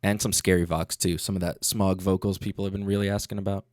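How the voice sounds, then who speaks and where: A man's voice: low-pitched, level tone, fast, a little mellow, dry recording. A man hosting a casual livestream tutorial as a music producer, talking in a laid-back, slangy way into a studio microphone.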